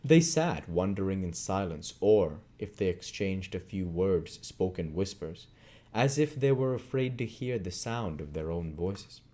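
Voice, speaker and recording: A man reading a classic novel aloud as narrator, in deliberate phrases with dramatic emphasis. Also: deep voice, expressive, dark tone. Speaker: audiobook reader.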